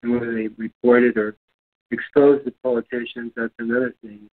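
A man's voice: medium pitch, little pitch movement, brisk. A man in casual discussion, speaking hesitantly and searching for his words. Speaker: discussion panelist. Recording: thin phone-like line.

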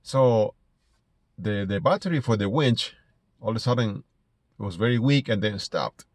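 A middle-aged man from Ecuador with an Ecuadorian Spanish accent, telling stories casually to camera in a halting way, repeating words as he goes. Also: lowish voice, lively intonation, no echo, studio quality. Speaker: small business owner vlogger. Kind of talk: casual personal storytelling to camera. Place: inside a parked car.

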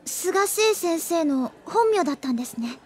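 An actress playing an eager, quick-witted schoolgirl who reasons earnestly in an anime audio drama. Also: very high voice. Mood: earnest deducing. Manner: earnest, excitable deduction.

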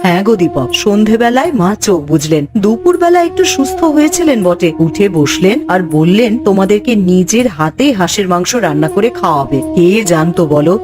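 A woman's voice: mid-range voice, big pitch swings, fairly quick, hardly any pauses, music in the background, lightly acted. A woman voicing a familiar, plaintive village sister-in-law in a cartoon, explaining at length. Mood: sorrowful. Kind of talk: Bengali animated ghost-story voice acting.

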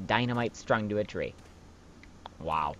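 Young male gamer, low voice that jumps around a lot, wry rambling asides, noisy home mic. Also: lively intonation, unhurried, pushed and bright, dull audio. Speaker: let's play commentator. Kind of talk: casual gameplay commentary.

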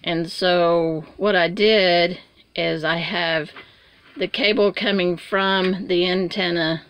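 An older woman giving a plain, unhurried show-and-tell of a project while filming with a handheld phone. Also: lowish voice, fairly even intonation, a bit bright, a little hiss or hum. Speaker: vlogger. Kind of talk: casual walkthrough vlog. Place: inside a small truck camper, then on its roof outdoors.

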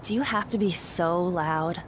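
An actress playing a fiery, loyal teenage high school girl in an English video game dub. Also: unhurried, noisy background, lightly acted. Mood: subdued.

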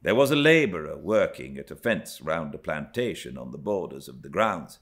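A middle-aged British man reading a classic novel aloud as an expressive narrator. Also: extremely low pitch, normal speed. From Britain. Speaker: audiobook narrator. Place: armchair by a fireplace in a living room.

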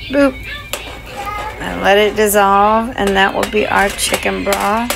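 A chatty young woman talks her way through a recipe in a home kitchen, recorded on a phone. Clear kitchen noise and sizzling sit under her voice. Her pitch sits in an everyday middle range. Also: fairly even intonation, slow. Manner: chatty, talks to camera while cooking. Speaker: cooking vlogger. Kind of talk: casual cooking narration. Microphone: phone held or propped near stove.

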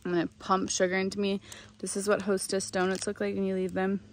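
A woman's voice: medium pitch, completely flat delivery, quick pace. A young woman talking in a chatty, informal, rambling way, like a casual video diary recorded on a phone out in the woods. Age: young adult. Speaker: vlogger hiking a long trail. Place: outdoors in woodland. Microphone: phone at arm's length. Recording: faint outdoor ambient noise.

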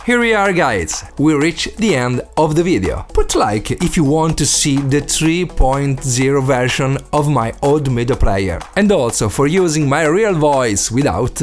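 A man's voice: medium pitch, very animated, measured pace, few pauses, faint music behind. A man from Italy with an Italian accent and non-native English phrasing, narrating a scripted DIY tutorial as the host. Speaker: DIY video maker and host.

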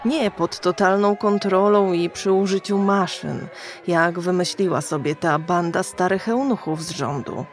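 An actress playing a brash, crude, streetwise prostitute in a dystopian audio drama, mocking and slangy. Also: female voice, lowish voice, fairly even intonation, unhurried, soft background music, lightly acted. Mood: scornful, cynical. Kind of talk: radio drama acting.

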